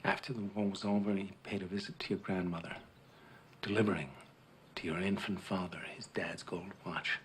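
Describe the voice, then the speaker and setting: An actor playing a solemn middle-aged war veteran captain in a film drama, telling his story earnestly and haltingly, with long pauses. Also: low voice, steady tone, close to an everyday voice. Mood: grave, hushed. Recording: noisy film soundtrack.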